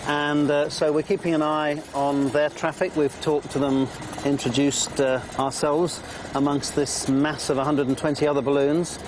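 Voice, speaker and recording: A man's voice: fairly high pitch, level tone, moderate pace, background noise. A man working as a balloon pilot, giving radio calls and informal explanations.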